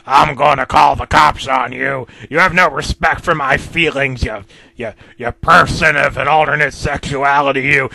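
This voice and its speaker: A man's voice: mid-range voice, fairly even intonation, drawn-out words, creaky, few pauses, slight background noise. A man voicing a furious, foul-mouthed grown cartoon grump who rants insults at the top of his lungs. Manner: shouting, ranting insults.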